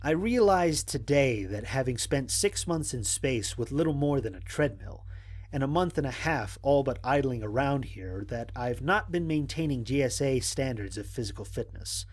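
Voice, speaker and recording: Man as wry astronaut, animated and slightly husky. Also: medium pitch, lightly acted. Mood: reflective, wry. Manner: measured, articulate, dryly humorous. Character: stranded human astronaut, wry, analytical, recording mission logs. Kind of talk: dramatic scripted log narration.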